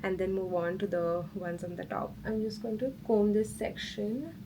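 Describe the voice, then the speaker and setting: A woman's voice: mid-range voice, moderate pace, background noise. A woman chatting casually and informally as a lifestyle vlogger, often dropping "like" into her sentences. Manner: informal, chatty, frequent "like" as filler. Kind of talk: casual vlog chat.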